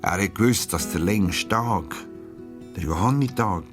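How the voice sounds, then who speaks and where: A man's voice: low voice, a little slow. A man with a Swiss German accent narrating a scripted story for children. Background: music, plucked guitar.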